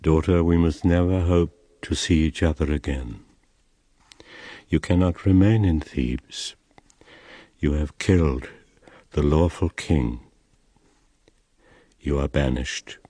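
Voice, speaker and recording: An old royal father in a solemn radio drama, stern and worn out. The voice is deep and slow, declaiming gravely in a dry, close acoustic. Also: mellow, no room sound, heavily acted.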